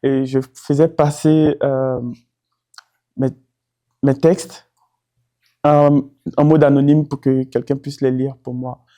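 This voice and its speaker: A man from Côte d'Ivoire speaks in a very dark, mellow voice at a middling pitch. He talks hesitantly, searching for his words and restarting sentences as he shares a personal testimony. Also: flat delivery, takes it slowly, long pauses. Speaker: student and former doctor.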